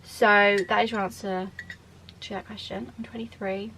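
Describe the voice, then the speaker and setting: A woman's voice: mid-range voice, level tone, normal speed, gravelly, fairly bright tone, a little hiss or hum. A woman in her twenties from the UK, chatting casually to camera in a Q&A vlog, rambling with lots of fillers and restarts. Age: 20s. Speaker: vlogger.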